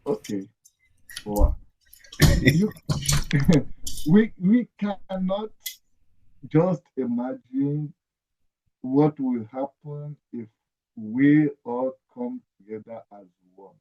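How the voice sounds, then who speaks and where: A man's voice: on the high side, drawn-out words, long pauses, mellow. A man with a Nigerian accent, a guest speaking earnestly and emphatically on a video call, often repeating his phrases. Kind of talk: conversational interview. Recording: dull lo-fi call audio.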